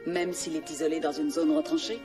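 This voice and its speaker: Cartoon casting: actress as composed, secretive female recruiter, deep register, formal delivery, sounds set back under music. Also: brisk, natural voice. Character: poised secretive woman recruiting a superhero for missions. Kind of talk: French animated film dub acting. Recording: distant voice under background music.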